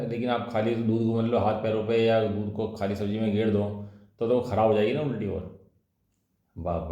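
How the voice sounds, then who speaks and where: A man's voice: fairly low pitch, vocal fry, warm tone. A man giving a colloquial religious discourse, explaining scripture with rhetorical questions and repeated key phrases. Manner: colloquial teaching with rhetorical questions and tag words. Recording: echoey, reverberant room sound.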